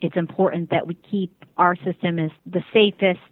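A woman's voice: on the low side, steady tone, moderate pace, breathy edge, no echo. A woman giving careful, diplomatic interview answers as a spokesperson, heard over a tinny phone line. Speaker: interview guest, advocacy group spokesperson.

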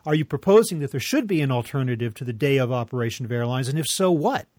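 A man interviewing a guest, putting probing questions in a measured, articulate way. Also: mid-range voice, animated, a little mellow.

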